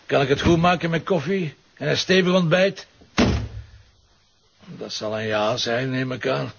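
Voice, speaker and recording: An actor with a Flemish accent playing a weary, hungover police commissioner, gruff but affectionate, in a naturalistic audio drama. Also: male voice, medium pitch, a little slow. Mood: coaxing, affectionate. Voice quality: gravelly. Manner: grumbling, colloquial, sardonic. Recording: dull lo-fi recording, faint noise.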